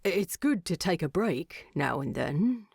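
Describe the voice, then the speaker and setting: A woman voicing a gruff, concerned dwarf king in a storybook narration, terse and guarded, teasing with a straight face. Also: on the low side, big pitch swings, takes it slowly, a little mellow, no room sound, studio quality, heavily acted. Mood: hesitant concern. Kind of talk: fan fiction narration with character voices.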